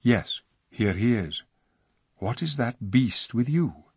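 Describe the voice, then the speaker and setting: A man playing a stern noble king in a storybook reading, with solemn old-fashioned speech, low and hushed. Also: animated, slow, breathy edge, no echo, studio quality, heavily acted. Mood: grave.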